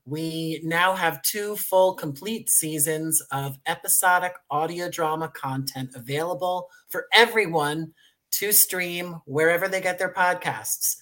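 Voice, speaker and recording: A man's voice: a little high, measured pace, sharp tone. A man speaking as an interview guest, presenting his creative project in an articulate, promotional way. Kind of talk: interview conversation.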